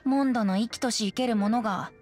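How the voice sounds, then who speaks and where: A woman's voice: a little high, fast, darkish tone, faint music behind, natural voice. A woman voicing a playful, whimsical young male bard in a video game, with a light, boyish voice. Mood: plaintive, light.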